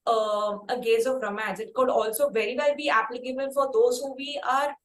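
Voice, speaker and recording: Female interview host, Indian accent, higher voice, wordy and halting, recorded in an echoey room. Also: steady tone. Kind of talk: conversational music interview. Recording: echoey room, faint background noise.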